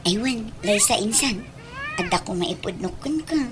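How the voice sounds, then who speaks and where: A woman's voice: medium pitch, lively intonation, moderate pace, natural voice. A woman with an Ilocano accent playing a chatty, teasing but cautious young cousin and confidante in a comedy radio drama. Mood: confiding. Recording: clear background noise.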